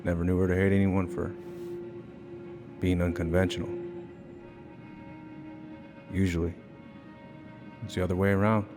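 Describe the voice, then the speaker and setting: An actor playing a gruff, protective middle-aged police chief and uncle in a video game, speaking in a measured, fatherly way. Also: sits low, little pitch movement, natural voice. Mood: guarded, somber. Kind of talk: naturalistic video game acting. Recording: music behind the voice.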